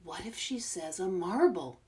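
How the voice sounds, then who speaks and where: A woman's voice: on the low side, lively intonation, measured pace, dark tone. A woman voicing a big male brown bear in a children's storybook, a patient, skeptical friend with a plain, mild manner. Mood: doubtful.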